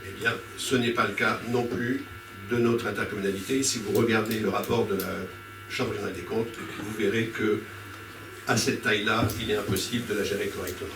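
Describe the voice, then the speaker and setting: A man's voice: lowish voice, steady tone. Echoey, distant man addressing a public meeting. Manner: halting, argumentative, often rephrasing mid-sentence. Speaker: speaker on a citizens' panel at a public local-politics debate. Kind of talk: impromptu political speech to a public meeting. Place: indoor public meeting room. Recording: echoey room, distant mic, clear background noise.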